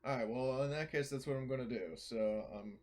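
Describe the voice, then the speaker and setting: A man's voice: medium pitch, brisk, a crowd in the background. A man chatting casually as a tabletop game player, hesitant and often correcting himself.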